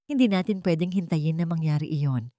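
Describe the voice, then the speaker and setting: A woman's voice: deep voice, fairly quick, warm tone, no echo, lightly acted. A defiant young woman played by a female storyteller confronts others with indignation, fiercely protective of her family. It is dramatic audio storytelling with a dry, roomless sound. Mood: urgent.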